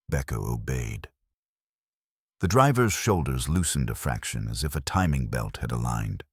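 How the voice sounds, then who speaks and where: A man narrating a scripted first-person detective story in a dry, wry, measured way. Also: extremely low pitch, slightly rough, no echo. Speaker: audiobook narrator.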